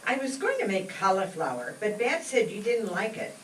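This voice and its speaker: A woman playing a fussing, hospitable housewife and mother in an old-time radio comedy, expressive and homely. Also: medium pitch, normal speed, lightly acted. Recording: roomy, distant stage pickup with faint noise.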